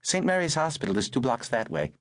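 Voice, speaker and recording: A male narrator voicing a brisk, wry aristocratic older woman who talks in a clipped, commanding way. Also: medium pitch, huge pitch swings, no room sound, voice pushed up.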